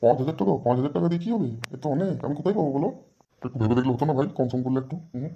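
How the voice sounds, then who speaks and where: A man's voice: fairly high pitch, expressive, very fast, vocal fry, warm tone, lo-fi sound, a little hiss or hum. A man voicing a grumpy, stingy, foul-mouthed father in an amateur comedy cartoon, scolding. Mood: haggling. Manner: scolding, cursing.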